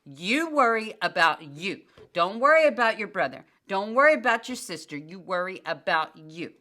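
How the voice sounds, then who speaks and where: An older woman co-hosting a casual church podcast, giving plainspoken, motherly advice in a fairly monotone voice. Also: mid-range voice, normal speed. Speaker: podcast co-host.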